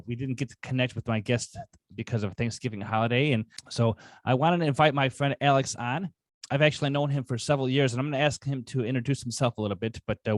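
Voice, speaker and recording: A man's voice: medium pitch, dry recording. An American man speaking as a podcast host in a conversational style.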